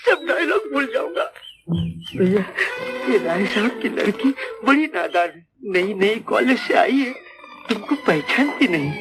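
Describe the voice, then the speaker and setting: A cowardly little village lawyer in an old film comedy. His voice is very high and swoops all over. He blusters, flatters and pleads in an excitable, servile way, on an old soundtrack with music. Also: heavily acted, rough recording. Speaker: comic film actor. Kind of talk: 1950s Hindi film comedy acting.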